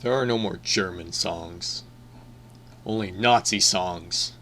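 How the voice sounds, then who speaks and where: A man's voice: deep voice, slow pace, bright, forceful tone, slight background noise, heavily acted. A man playing a bitter, defiant young musician full of moral outrage in an amateur audio drama.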